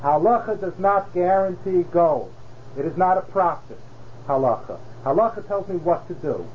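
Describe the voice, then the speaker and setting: A man's voice: sits high, animated, normal speed, a little hiss or hum. A man teaching a religious class, explaining patiently and checking in with rhetorical questions. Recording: dull lo-fi class recording.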